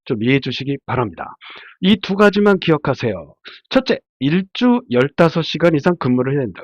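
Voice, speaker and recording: Stuffed-up guy with a cold teaching casually off slides, very lively intonation, dry close sound. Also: fairly high pitch, no echo. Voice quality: nasal, stuffy. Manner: conversational, explanatory, asks and answers own questions. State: congested from a head cold. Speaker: labor attorney lecturer. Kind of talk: informal slide lecture. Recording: dull, muffled lo-fi sound.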